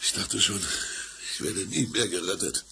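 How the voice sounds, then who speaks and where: An actor playing an old shepherd who has been tied up, grateful and stubborn, in a radio play. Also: male voice, low-pitched, normal speed, creaky, sharp tone, a little hiss or hum, heavily acted. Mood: relieved. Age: older. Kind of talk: 1970s radio play acting.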